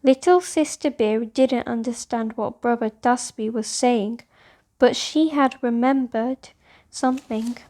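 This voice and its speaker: Woman, high voice, unhurried pace, children's storyteller, scripted narration. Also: warm tone.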